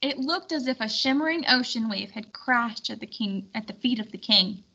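A woman reading a children's storybook aloud in an expressive, clearly instructional way. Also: fairly high pitch, moderate pace, highs cut off. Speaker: storybook reader.

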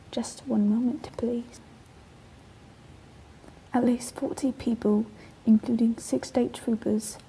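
A woman playing a shaken studio news announcer in a radio play, grave and halting, with a breathy, husky voice. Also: a little high, dark tone, a little hiss or hum, poor audio.

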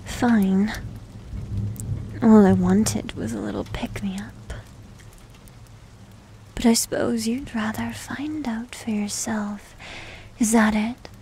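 A woman playing a needy, teasing young succubus demon in an ASMR roleplay, with a soft, breathy, often whispered voice and coy manner. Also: a little high, fairly even intonation, slight background noise. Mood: sulky, teasing. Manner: coy, slow, coaxing. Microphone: close microphone.

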